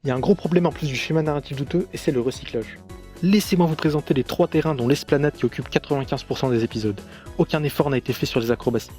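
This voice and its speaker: Male reviewer, slightly high voice, brisk sarcastic scripted commentary over light music. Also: a little mellow. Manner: sarcastic, critical. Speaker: video reviewer.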